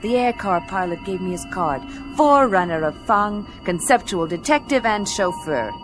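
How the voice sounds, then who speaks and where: A woman's voice: medium pitch, lively intonation, normal speed, over music, lightly acted. A woman playing a tough, blunt, sardonic space detective in a radio play, both narrating and speaking in dialogue with a dry delivery. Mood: wry narrating.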